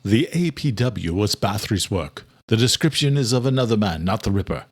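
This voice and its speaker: A man's voice: fairly low pitch, lively intonation, fairly quick, husky. A stern vampire king, acted by a male narrator holds his council in order. His speech is formal and commanding, in a dramatic audiobook style. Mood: measured, formal.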